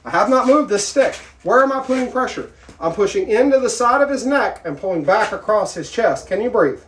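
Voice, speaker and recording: A man's voice: high-pitched, expressive, brisk, fairly bright tone, slight background noise. A man giving a blunt, plainspoken self-defense lesson in a casual way, asking his training partner questions as he demonstrates. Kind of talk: casual instructional demo. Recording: echoey room, mic far off.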